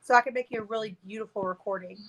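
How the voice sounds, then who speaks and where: A woman's voice: mid-range voice, rapid-fire, fairly bright tone, low-quality recording. A brash, emphatic woman telling things in a casual group chat over a video call, swearing freely. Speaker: panel guest.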